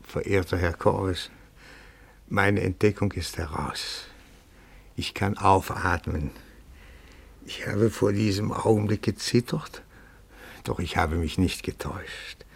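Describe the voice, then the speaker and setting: An actor playing a timid, pedantic petty-bourgeois amateur detective in a radio play, speaking formally and deferentially with meticulous phrasing. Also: male voice, sits low, slow, creaky, long pauses, husky, full character voice. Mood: reverent, confessing.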